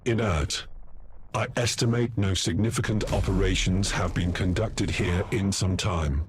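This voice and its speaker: An actor playing an analytical tech-priest officer in a video game, reporting in a precise, detached manner. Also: male voice, low voice, unhurried, a little hiss or hum. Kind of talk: video game cutscene acting. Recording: music behind the voice.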